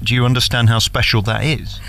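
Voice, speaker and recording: A man interviewing as a radio host, flattering and cheeky in manner. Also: mid-range voice, a little fast, vocal fry. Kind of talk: interview questions and commentary.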